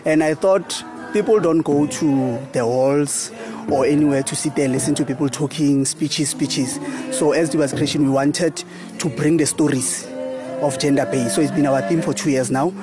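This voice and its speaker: A man's voice: a little high, fairly even intonation, normal speed. A man from South Africa, a theatre director and scriptwriter, earnestly explaining his work in an interview. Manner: earnest, explaining at length with restarts. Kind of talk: interview talk. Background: music.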